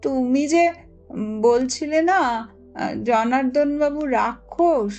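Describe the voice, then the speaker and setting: A woman's voice: high voice, slow pace, darkish tone, voice pushed up. A woman voicing a frightened, earnest young schoolboy in a storybook reading, pleading and insistent as he begs to be believed. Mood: choked, insistent. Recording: music under the voice.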